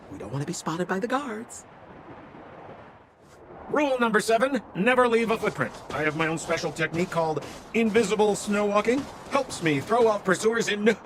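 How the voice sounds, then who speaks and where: A man's voice: sits high, a little hiss or hum. A man voicing a slick, theatrical male con-man relic dealer in a video game, with glib, wheedling salesman patter. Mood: eager, sly.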